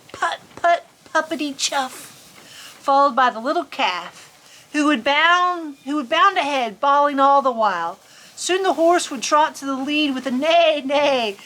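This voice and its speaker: A woman's voice: sits high, sharp tone. A woman reads aloud to children. Her delivery is folksy and playful, slipping between storybook narration and casual chat. She is recorded outdoors on a farm, with faint ambient noise behind her. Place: outdoors on a farm in cold weather.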